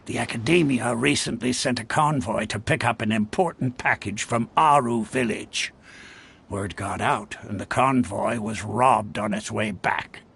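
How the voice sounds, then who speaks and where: An actor playing a seasoned, world-weary mercenary chief in a video game, gravelly and matter-of-fact. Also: male voice, lowish voice, a bit bright, heavily acted. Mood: measured, informative. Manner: measured, matter-of-fact briefing.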